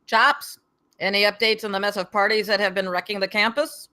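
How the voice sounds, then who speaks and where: A woman's voice: mid-range voice, fairly even intonation, brisk, pushed and bright, lightly acted. A woman reading the part of an impatient, commanding campus security captain at a script table read.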